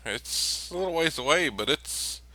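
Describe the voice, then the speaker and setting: A man playing a tabletop role-playing game, talking casually in a halting, hesitant way. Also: fairly high pitch, expressive, pushed and bright, a little hiss or hum. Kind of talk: casual in-character tabletop play.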